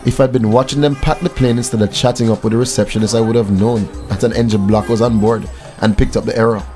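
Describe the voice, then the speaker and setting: A man's voice: medium pitch, steady tone, fast, dark tone, faint music behind, lightly acted. Affable Jamaican pilot, played by a man. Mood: earnest, reflective. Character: affable young male airline pilot, humble and earnest. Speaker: actor in a radio drama. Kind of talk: scripted radio drama.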